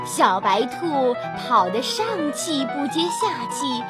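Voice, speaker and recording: A woman storyteller narrating a scripted fairy tale for young children in an expressive, drawn-out manner. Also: high-pitched. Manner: expressive, drawn-out storytelling with gentle sentence particles. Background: children's music.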